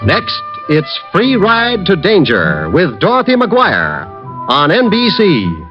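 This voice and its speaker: High-pitched, animated American radio announcer. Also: male voice, slow pace. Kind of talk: 1940s-50s radio announcing, scripted. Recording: dull old radio recording, music behind. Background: music.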